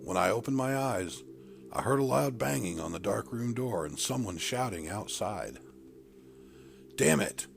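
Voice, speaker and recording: A man's voice: fairly low pitch, animated, normal speed, vocal fry, a little hiss or hum. A man reading a first-person story in a scripted narration style, stressing the openings of his phrases dramatically. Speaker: audiobook narrator reading fiction. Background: music.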